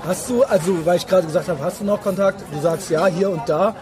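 High-voiced male interviewer, casual and agreeing. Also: quick pace. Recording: music and crowd noise behind.

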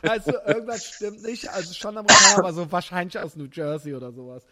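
A German man in his thirties hosting a podcast, chatting casually and telling animated, slangy stories with frequent laughter. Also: high-pitched, fast talker, background noise. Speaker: podcast host. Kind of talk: casual chat.

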